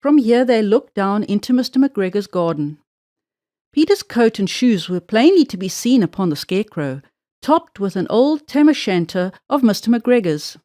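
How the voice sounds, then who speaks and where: Female storyteller for children's read-aloud, very animated pitch, measured phrasing with drawn-out key words, dry recording. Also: no room sound. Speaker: storybook narrator.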